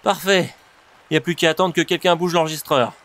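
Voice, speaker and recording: Actor as fast-talking, sardonic hapless man. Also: fairly high pitch, fairly even intonation, fairly bright tone, close to an everyday voice. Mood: brisk. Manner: colloquial, sardonic. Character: grumbling, hapless modern man Johnny, stranded in time-travel mishaps. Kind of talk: comic audio drama acting.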